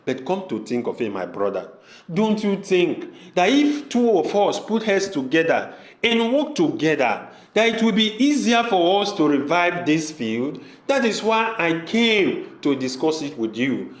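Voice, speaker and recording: A man's voice: a little high, very animated, measured pace. A man with a Nigerian accent playing an earnest village man pleading with his brother in an amateur audio drama, asking repeated questions. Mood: persuasive. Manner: pleading, repetitive rhetorical questions. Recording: echoey room.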